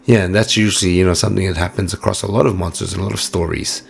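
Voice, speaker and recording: A hoarse man giving casual, musing let's-play commentary with plenty of fillers while he plays. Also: low voice, steady tone, very fast, slight background noise. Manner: musing, chatty, many fillers. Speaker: game streamer.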